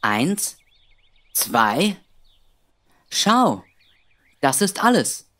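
A woman's voice: low-pitched, very animated, very slow, a bit bright, a little hiss or hum, full character voice. A woman voicing an earnest, unsure little boy in a children's educational cartoon, speaking in simple, hesitant phrases. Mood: puzzled.

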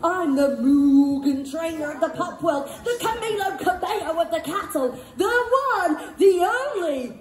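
A woman's voice: extremely high pitch, lively intonation, unhurried, few pauses. A woman playing a sassy, show-off cow in a stage pantomime, with punchy comic delivery. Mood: boastful. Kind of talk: stage pantomime comedy acting. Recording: echoey theatre stage.

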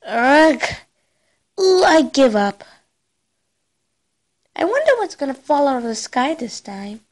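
A bookish young pony princess , acted by a woman, speaks in a high voice. She is earnest and excitable, giving her lines dramatic emphasis in a cartoon style. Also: slow pace, heavily acted. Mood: exasperated. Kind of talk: cartoon character acting.

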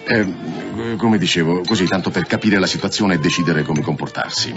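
An actor in an Italian radio drama playing a pragmatic, cautious police subordinate who confides gossip in a colloquial way. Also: male voice, sits low, normal speed, creaky, dull audio, music in the background. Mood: confiding.

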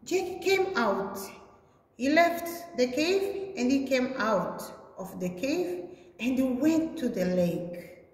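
A woman's voice: high voice, animated, takes it slowly. A woman preschool teacher reading a story aloud to small children in simple, repeated phrases with exaggerated sing-song emphasis. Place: indoor classroom. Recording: echoey room with long reverb.

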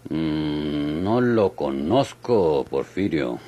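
An actor playing a rich, dismissive old hacienda owner with a Mexican accent in an old radio drama. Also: male voice, a bass voice, animated, very slow, warm tone, exaggerated voice. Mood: haughty, irritated. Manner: curt, dismissive. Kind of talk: golden-age Mexican radio drama acting.

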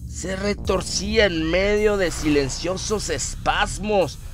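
A man reading a scripted horror story as a narrator, in a dramatic, suspenseful manner with drawn-out phrasing. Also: high voice. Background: music.

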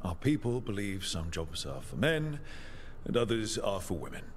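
An actor playing a calm, caring adventurer who leads his party in a video game. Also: fairly low pitch, moderate pace, slight background noise. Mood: concerned, calm. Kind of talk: video game character dialogue.

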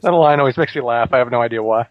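A man's voice: mid-range voice, very fast, dry recording. A man giving casual, amused commentary on a video game in a dull, dry recording.

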